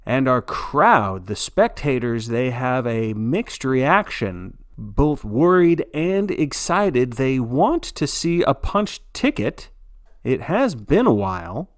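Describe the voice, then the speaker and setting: A man playing an earnest, folksy sports broadcaster, giving scripted play-by-play with a dramatic announcer delivery and suspenseful pauses. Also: mid-range voice, big pitch swings, dry recording, studio quality. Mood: grave, suspenseful. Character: earnest, folksy male sports broadcaster narrating a grim contest.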